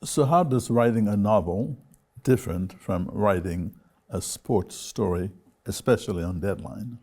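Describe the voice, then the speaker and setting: A male television interviewer with a fairly low, mellow voice. He takes his time, speaking very slowly and setting out each question phrase by phrase in a measured manner.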